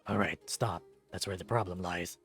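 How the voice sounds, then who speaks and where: A man voicing a large male wolf who mentors fighters in a visual novel, steady and instructive. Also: sits low, normal speed, gravelly, faint music behind, voice pushed down. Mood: stern teaching.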